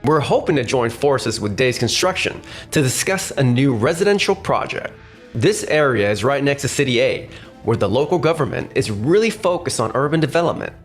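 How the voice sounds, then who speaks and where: A man voicing an overconfident, pushy young real estate salesman in an animated manga dub style. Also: medium pitch, moderate pace, close to an everyday voice. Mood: eager pitching. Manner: cocky, persuasive, animated. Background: faint background music.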